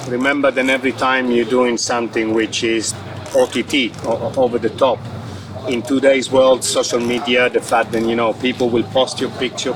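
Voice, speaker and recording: Italian-accented man, far off, noisy outdoors. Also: mid-range voice. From Italy. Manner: earnest, repeats and restarts phrases, non-native grammar. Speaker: company executive interviewed. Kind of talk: outdoor event interview. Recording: far from the mic with loud background noise.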